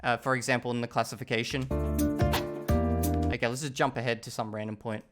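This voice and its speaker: A man's voice: mid-range voice, fairly even intonation, brisk, over loud music. A man hosting a casual talking-head video, rambling and chatty, often stopping to check in with the viewer. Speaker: channel host reviewing his language learning.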